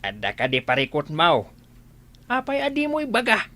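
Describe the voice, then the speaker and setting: Bright, animated, fatherly elder. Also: a little high, moderate pace, heavily acted. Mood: probing. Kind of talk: Ilocano radio drama acting.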